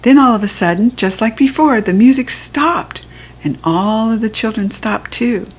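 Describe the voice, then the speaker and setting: A woman with a General American accent reading a children's storybook aloud, with expressive, childlike first-person narration. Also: a little high, moderate pace, slightly rough. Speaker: children's book reader.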